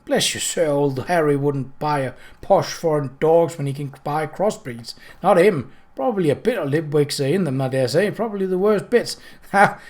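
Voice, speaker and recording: Man doing a jumpy old postman, squeaky, stammers, eager. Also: lightly acted. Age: older. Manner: high, excited, stammering.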